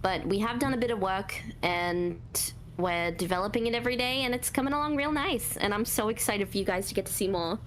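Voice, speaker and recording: High-voiced woman chatting easy in a q&a, lots of ums, faint rumble behind. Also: brisk, hardly any pauses, rough recording. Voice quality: naturally high voice. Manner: relaxed, hesitant, many ums, laughs at herself. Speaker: voice actor and artist, interview guest. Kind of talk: casual Q&A interview.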